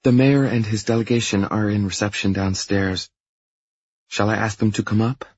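Man acting a timid woman assistant, apologetic, businesslike, raised pitch, roomy sound, audiobook. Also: steady tone, moderate pace, a little mellow, breathy edge, dull audio. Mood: anxious. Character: timid, flustered female office assistant.

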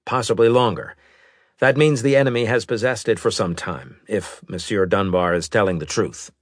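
A man's voice: on the low side, moderate pace, no room sound, clean studio sound, pitched above the performer's own voice, lightly acted. A man playing an authoritative, probing male military leader who talks tersely in a science fiction audiobook. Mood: serious.

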